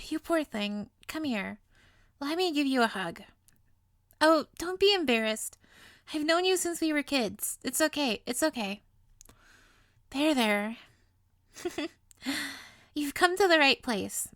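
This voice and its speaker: High-voiced, sweetly clingy young witch, played by a woman. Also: animated, fairly bright tone, no room sound, studio quality, heavily acted. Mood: soothing, doting. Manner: coy, doting, theatrical, drawn-out. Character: sweet, clingy, playful young witch, female, possessively lovestruck. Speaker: voice actor in an audio roleplay. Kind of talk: intimate scripted audio roleplay monologue.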